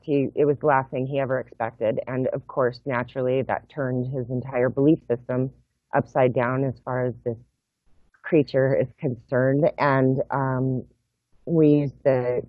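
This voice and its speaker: A middle-aged woman, a guest on a video call from home, explaining her project in a measured, thoughtful way with frequent pauses. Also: extremely low pitch, fairly even intonation, dry recording. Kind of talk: explaining in an interview. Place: home room. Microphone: laptop webcam mic on video call. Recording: tinny phone-like video call audio, dry.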